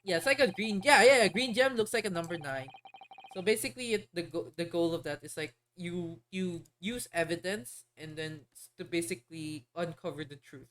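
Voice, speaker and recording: A woman's voice: lowish voice, normal speed, a bit bright, a little hiss or hum. A woman streamer chatting casually while playing a game, rambling and hesitant as she explains things. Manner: rambling, hesitant, many fillers and restarts.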